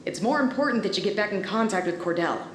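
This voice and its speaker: An actress playing a brisk, pragmatic colleague coordinating an emergency in an audio drama, terse and dry in manner. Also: female voice, mid-range voice, lively intonation, natural voice. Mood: brisk, practical. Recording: roomy echo, faint background noise.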